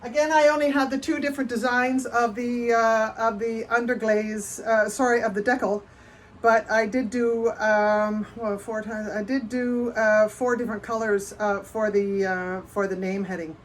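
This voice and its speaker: A woman's voice: a little high, fairly even intonation, moderate pace. A woman with a Canadian accent doing a casual live show-and-tell as a potter, chatty and rambling, often breaking off mid-sentence. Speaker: potter hosting a livestream. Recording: noticeable background noise.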